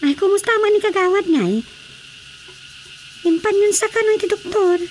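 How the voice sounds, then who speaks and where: A woman's voice: extremely high pitch, normal speed, darkish tone. A woman with an Ilocano accent playing a talkative, concerned village neighbour in a radio drama comedy. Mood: concerned, chatty. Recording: faintly noisy radio drama track.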